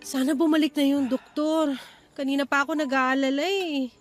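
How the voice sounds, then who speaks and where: A woman's voice: high voice, steady tone, moderate pace, soft background music, natural voice. An actress playing an anxious, caring young first-time mother with a fretful, questioning manner in a radio drama. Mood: worried.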